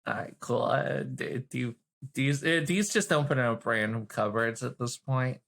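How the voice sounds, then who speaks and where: A woman with a gravelly, creaky edge talks in a loose, informal way. She is playful and teasing, improvising as a player in a roleplay game. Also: extremely low pitch, normal speed. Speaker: player in a tabletop roleplay podcast. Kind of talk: casual improvised roleplay chat.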